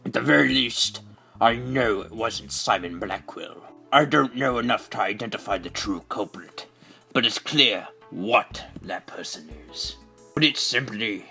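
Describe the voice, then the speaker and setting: A man's voice: fairly low pitch, animated, unhurried, creaky, pushed and bright, exaggerated voice. A man playing a pompous, secretive older space center director in a video game fandub, speaking in a theatrical, declamatory way. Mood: emphatic. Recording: amateur recording with music.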